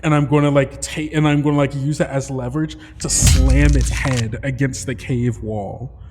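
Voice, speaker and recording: A man's voice: mid-range voice, fairly even intonation, moderate pace, in a large hall, over music. A man playing a tabletop roleplaying game, narrating his character's actions.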